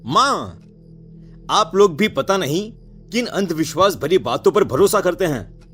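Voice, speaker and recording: A man's voice: high voice, sing-song, measured pace, a bit bright, soft background music, exaggerated voice. A man playing a dismissive, exasperated teenage son with a whiny delivery in a dramatized story.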